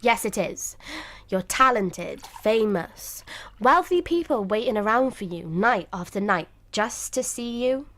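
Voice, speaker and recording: A woman acting in a radio drama as a brisk, wry playwright's wife with an emphatic way of talking. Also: medium pitch, expressive, a bit bright. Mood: exasperated. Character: brisk, bossy, loyal playwright's wife, wryly teasing.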